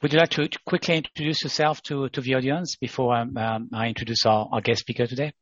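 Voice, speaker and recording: Husky podcast host, dry close sound. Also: male voice, medium pitch, flat delivery, fairly bright tone, no echo, lo-fi sound. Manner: halting, frequent fillers and restarts. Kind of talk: podcast hosting and interviewing.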